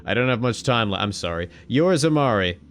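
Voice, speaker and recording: A man hosting a let's-play video, reading a game's text aloud and joking, swearing casually and jumping into sudden loud high-pitched exclamations. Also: lively intonation, a little fast. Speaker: gaming video host.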